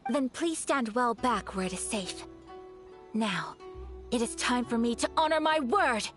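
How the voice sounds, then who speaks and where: A woman playing a refined young noblewoman in a video game, formal, courteous and gently firm. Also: fairly high pitch, expressive, a little slow. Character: refined young noblewoman, polite, principled and composed. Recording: faint game music under the voice.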